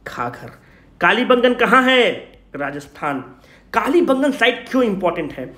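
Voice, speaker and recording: A man's voice: high-pitched, sing-song, moderate pace, sharp tone. A man teaching an exam revision class informally, asking questions and answering them himself, mixing in English phrases. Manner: informal, teasing, addresses students familiarly; mixes English phrases into speech. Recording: echoey room.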